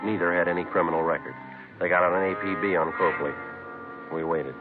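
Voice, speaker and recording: A deep-voiced police detective played by a man in an old radio crime drama. He reports in clipped, deadpan fashion. The sound is narrow and muffled, like a 1950s broadcast. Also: brisk, music in the background, natural voice. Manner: clipped, deadpan, matter-of-fact. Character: terse police detective narrating his own case.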